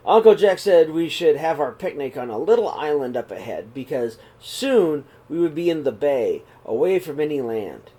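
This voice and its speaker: A middle-aged man reading a children's picture book aloud in a small room, slow and expressive. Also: a little high, breathy edge. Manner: reads slowly and expressively, voices the characters' calls. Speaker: storybook reader. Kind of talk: read-aloud storytelling for children.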